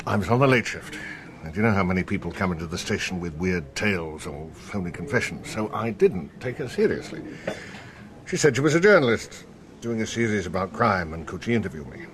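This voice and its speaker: An actor playing a wry, world-weary French police inspector in a naturalistic radio drama, sardonic and colloquial. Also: male voice, sits low, very animated, a little fast, background noise.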